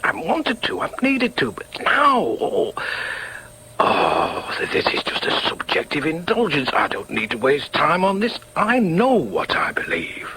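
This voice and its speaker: A man's voice: on the high side, huge pitch swings, a little slow, sharp tone, slight background noise, heavily acted, rough recording. An actor playing a troubled, self-doubting middle-aged father in a radio drama, with a hesitant, stammering Northern English delivery. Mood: brooding, unsettled. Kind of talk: radio drama with muttered inner monologue.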